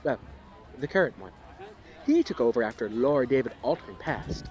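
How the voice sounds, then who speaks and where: A man's voice: mid-range voice, sing-song, moderate pace, a little mellow, lo-fi sound, lightly acted. A man playing an eager, starry-eyed devotee of an organization, chatting earnestly and gushing in an audio drama. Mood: gushing admiration. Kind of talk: audio drama small talk.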